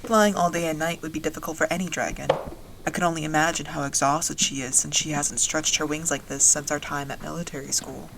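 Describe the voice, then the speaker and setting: A woman playing a wry dragon-riding soldier, narrating a fantasy audio drama in the first person in a measured storytelling way. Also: low-pitched, fairly even intonation, vocal fry, noisy background. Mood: composed, watchful.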